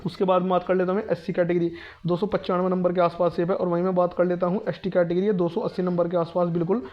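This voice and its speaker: A man's voice: high voice, level tone, fast talker, dull audio. A man explaining exam information to students informally, in a repetitive, insistent, emphatic manner. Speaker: exam prep presenter.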